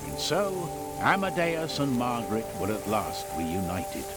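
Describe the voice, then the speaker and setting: An actor playing a theatrical storybook narrator of a fantasy game tale. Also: male voice, medium pitch, very animated, a little slow, over loud music. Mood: storytelling.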